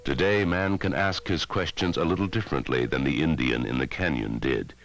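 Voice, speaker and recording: A man narrating a scripted educational film in a measured, dramatic way, on a 1960s film soundtrack. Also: a bass voice. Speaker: documentary narrator.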